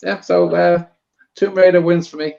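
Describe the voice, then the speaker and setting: A man's voice: high-pitched, level tone, moderate pace, vocal fry, warm tone, highs cut off. A man chatting casually as a panel guest, giving his opinions on films. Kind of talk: casual film debate.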